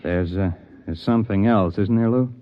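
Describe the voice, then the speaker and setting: A man's voice: fairly low pitch, moderate pace, dry recording, lightly acted. An actor playing a dogged, inquisitive man who narrates his own investigation in a vintage radio drama, conversational and wry. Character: dogged, inquisitive male actor turned amateur sleuth. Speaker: first-person narrator and lead. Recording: muffled vintage radio recording with music.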